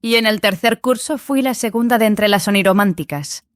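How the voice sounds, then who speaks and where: A woman's voice: medium pitch, normal speed, no echo, clean studio sound, lightly acted. A woman playing a proud, earnest young female apprentice in an audiobook, precise and slightly hesitant. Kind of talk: dramatized audiobook acting.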